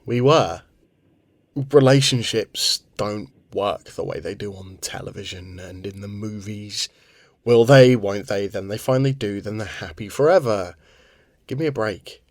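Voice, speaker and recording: A man's voice: on the low side, measured pace, no room sound. A man playing a jaded but earnest man venting about romance, emphatic and exasperated, in a dramatic monologue.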